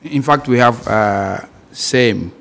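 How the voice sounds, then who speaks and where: A man's voice: mid-range voice, takes it slowly, creaky, fairly bright tone. A man, a maritime authority official, giving brief factual answers on a studio panel.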